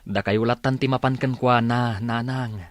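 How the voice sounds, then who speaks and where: A man's voice: medium pitch, steady tone, moderate pace, dry recording, clean studio sound, close to an everyday voice. A man playing an earnest, lovestruck young son who pleads for peace in an Ilocano comedy radio drama. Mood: coaxing. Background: music.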